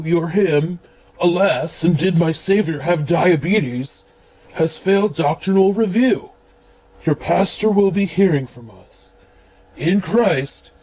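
Man acting a husky, high-voiced pompous church reviewer. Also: warm tone, poor audio. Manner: formal, officious, grandiose. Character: pompous, stern church doctrinal reviewer reading out rejection letters. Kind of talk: comedic radio theatre letter reading.

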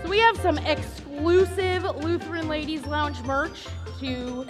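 Female stage co-host, very high pitch, off-the-cuff giveaway patter in a reverberant hall. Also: unhurried. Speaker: co-host of a live podcast stage show. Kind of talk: unscripted live stage banter and giveaway hosting. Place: large convention hall stage, over PA. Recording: hall reverb, music behind, live stage.

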